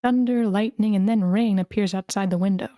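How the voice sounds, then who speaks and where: A woman's voice: medium pitch, a little fast, mellow, no room sound, studio quality. A woman reading screenplay stage directions as narrator at a table read.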